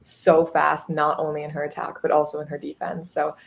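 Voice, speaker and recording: A woman's voice: low-pitched, monotone, fairly quick, vocal fry, slight background noise. A woman in her twenties, a college athlete, chatting upbeat in a podcast interview with many fillers. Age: 20s. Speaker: guest, college goalkeeper.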